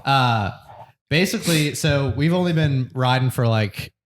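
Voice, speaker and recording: A man's voice: medium pitch, a little slow, slight background noise. A man in his late twenties from the American South, co-hosting a casual podcast and telling a long story with many fillers and restarts. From Georgia, USA. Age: 20s. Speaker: podcast co-host.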